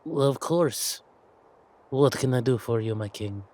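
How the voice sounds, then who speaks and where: A man's voice: mid-range voice, measured pace, mellow, close to an everyday voice. A man playing a flustered, obsequious duke in an audio roleplay, stammering and deferential. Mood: alarmed, flustered. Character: nervous, obsequious male duke, fawning and flustered.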